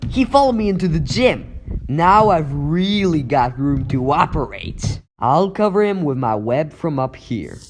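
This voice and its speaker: Guy doing young teen superhero, highish animated voice, wisecracky but anxious, dramatic thinking out loud, comic dub. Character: wisecracking teenage male superhero, earnest and anxious. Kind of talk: comic book dub voice acting.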